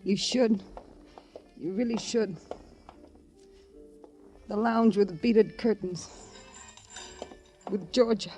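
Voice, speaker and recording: A woman's voice: medium pitch, extremely slow, pauses often, warm tone. An actress playing a cynical, needling dance-hall girl with a flippant manner, in 1950s radio noir style. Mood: taunting, flippant. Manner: taunting, flippant. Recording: old radio recording, faint music.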